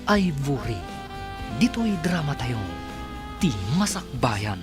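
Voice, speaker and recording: A man's voice: on the high side, very animated, slow, music in the background. A man with a Filipino accent giving dramatic scripted narration as a radio drama announcer.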